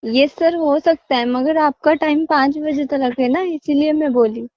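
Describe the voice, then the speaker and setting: Woman, call center agent, high-pitched, polite, muffled phone audio. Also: steady tone, a little slow. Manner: polite, patient and businesslike. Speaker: customer care agent.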